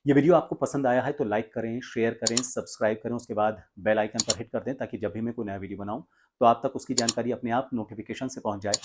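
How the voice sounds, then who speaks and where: A man with a mid-pitched voice teaches as a voice coach. He explains in an instructional tutorial style, taking the listener through things step by step. He is from India and presents directly to his viewers. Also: fairly even intonation, fast talker, heavy background noise.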